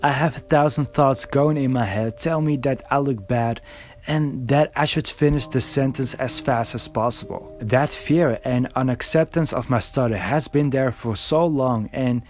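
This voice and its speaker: A man's voice: mid-range voice, normal speed, darkish tone, soft background music. A man reading a scripted voiceover for a video essay in an earnest, reflective way, recorded alone.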